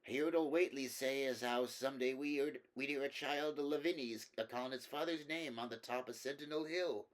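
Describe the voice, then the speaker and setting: A man's voice: mid-range voice, fairly quick, pitched above the performer's own voice, close to an everyday voice. A man voicing an old rustic who rambles in folksy dialect in a storybook reading. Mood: rambling, reminiscent.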